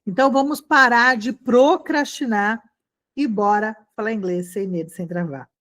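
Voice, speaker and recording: A woman's voice: fairly high pitch, moderate pace. Brazilian woman teaching, encouraging and chatty. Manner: animated, encouraging, chatty with frequent check-in questions. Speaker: online English teacher. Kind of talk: conversational lesson addressed to students.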